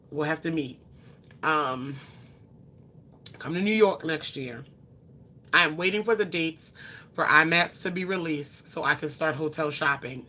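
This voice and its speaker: Chatty low-voiced woman in her twenties. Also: bright, forceful tone, slight background noise. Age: 20s. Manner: chatty, rambling, with frequent ums and self-corrections. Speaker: beauty vlogger. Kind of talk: casual chat answering viewer questions.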